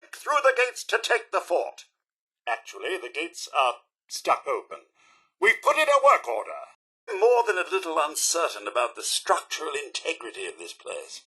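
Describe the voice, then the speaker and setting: A man's voice: fairly high pitch, wildly swooping pitch, normal speed, bright, forceful tone, breathy edge, exaggerated voice, poor audio. A man voicing a courteous, chipper robot butler in a video game, with a British accent and a formal, theatrical manner. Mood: dryly doubtful. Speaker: robot servant and tour guide. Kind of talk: video game character voice lines.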